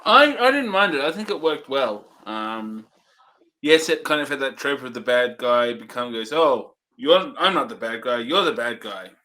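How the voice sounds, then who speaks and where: Bright-voiced, hedging male co-host. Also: medium pitch, lively intonation. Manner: measured, hedging opinions. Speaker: movie review co-host. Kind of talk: casual review chat.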